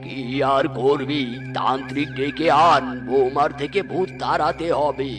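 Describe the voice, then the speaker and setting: A man's voice: medium pitch, fairly even intonation, measured pace, over music, natural voice. A man voicing a scolding, exasperated father in a comic animal cartoon, with a brusque manner. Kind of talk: cartoon voice acting.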